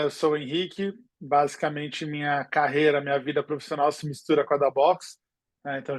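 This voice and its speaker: A man's voice: fairly high pitch, dry recording. A Brazilian man in his forties co-hosting a webinar in an informal, conversational style. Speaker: webinar co-host, futures researcher.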